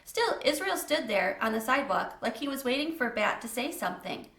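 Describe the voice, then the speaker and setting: A woman's voice: fairly high pitch, big pitch swings, a little fast, fairly bright tone, echoey room. A woman reading a children's book aloud as a storyteller, in a scripted narration style.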